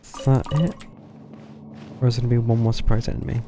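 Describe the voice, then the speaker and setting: A man streams a game with casual running commentary. His pitch sits in a middle range, and music plays clearly behind his talk. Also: fairly even intonation, quick pace.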